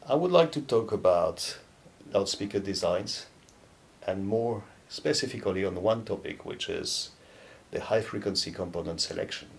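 A man in his fifties speaks in a deep register with a fair amount of fry. He explains things as a company presenter would, in measured, halting phrases with pauses between them. A faint low rumble sits behind his voice. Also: lively intonation. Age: 50s. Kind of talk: explanatory product talk to camera. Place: dark indoor studio or demo room, seated. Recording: low rumbling background noise.

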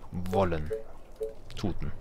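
Low-voiced man commenting on a game. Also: fairly even intonation, takes it slowly, over music. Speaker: let's play host. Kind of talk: casual gaming commentary.

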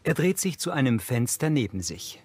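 A man's voice: mid-range voice, very animated, normal speed. A man giving neutral, factual scripted scene narration in the present tense for a crime series.

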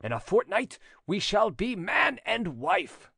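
A narrator voicing an ardent, jealous French gentleman suitor, pleading and impassioned, in audiobook dialogue. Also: medium pitch, sing-song, slow pace, creaky, husky, dry recording, studio quality, voice pushed up, heavily acted.

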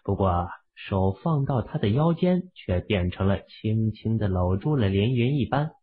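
A man's voice: lowish voice, lively intonation, measured pace, slightly rough. A man narrating fiction from a script as an audiobook storyteller. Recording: phone-like, band-limited audio.

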